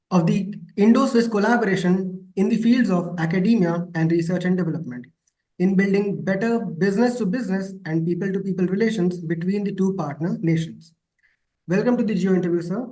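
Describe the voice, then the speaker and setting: A man's voice: sits high, a little mellow, low-quality recording. A man hosting an interview in a formal, deferential manner. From north India. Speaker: interview host.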